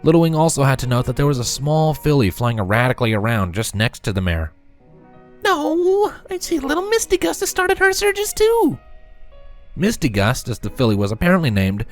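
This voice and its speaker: A man narrating a story from a script in an expressive reading style. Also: mid-range voice. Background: music.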